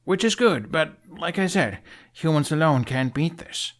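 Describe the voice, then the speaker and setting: A man voicing an earnest, irritable young Viking in an audiobook, speaking in a halting, insistent, exasperated way. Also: on the high side, expressive, voice pushed up. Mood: hesitant, pleading. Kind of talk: audiobook character acting.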